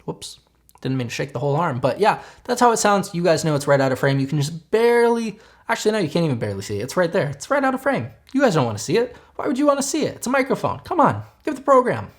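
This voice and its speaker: Young male reviewer, fairly high voice, relaxed and talky, throws in playful asides. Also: fast. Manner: chatty, joking asides to viewers. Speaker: tech reviewer, channel host. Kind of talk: casual product review to camera.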